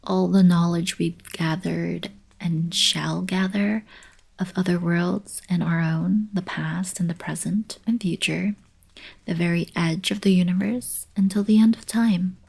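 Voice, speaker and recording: Woman acting soft, husky, slow-talking young alien princess. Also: on the low side, steady tone, exaggerated voice. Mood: thoughtful explaining. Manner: soft, breathy, casual teasing delivery. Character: playful, teasing young alien princess, fond college girlfriend. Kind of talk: intimate ASMR roleplay, scripted monologue to the listener.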